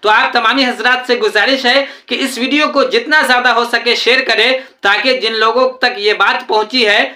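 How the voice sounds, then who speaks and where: A woman narrator with a fairly high, bright and forceful voice. She delivers scripted explanation as a video presenter. The recording has a clearly roomy echo. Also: steady tone, moderate pace, few pauses.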